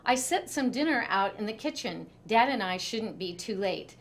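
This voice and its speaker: A woman's voice: a little high, lively intonation, normal speed. A woman voicing an exasperated mother of two boys in a children's storybook, firm and scolding yet reassuring.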